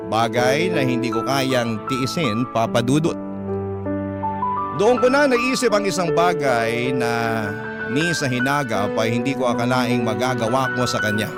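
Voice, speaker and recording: Filipino male storyteller over loud piano music. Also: mid-range voice, lively intonation, unhurried. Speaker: radio drama narrator reading a letter. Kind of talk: dramatic scripted first-person narration.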